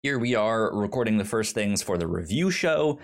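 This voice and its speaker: A man hosting a casual podcast chat, talking in a halting way with many fillers and stammered restarts. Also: fairly low pitch, expressive. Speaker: podcast host.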